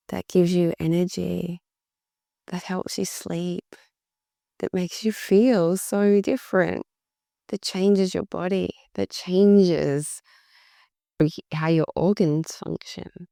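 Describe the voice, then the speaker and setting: Slow woman with a worn, gravelly voice. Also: steady tone, dark tone, dry recording, studio quality. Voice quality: deep, gravelly. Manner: slow, reflective, repeats words for emphasis. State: voice worn out from talking a lot. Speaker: podcast host. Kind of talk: solo podcast monologue.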